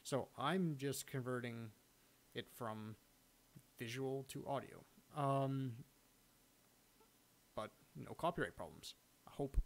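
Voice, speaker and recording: A man giving a casual, unscripted screen-recording walkthrough of his own software, halting as he thinks aloud. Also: medium pitch, animated, gravelly. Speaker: software hobbyist demonstrating own add-on. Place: indoors, at a computer recording the screen.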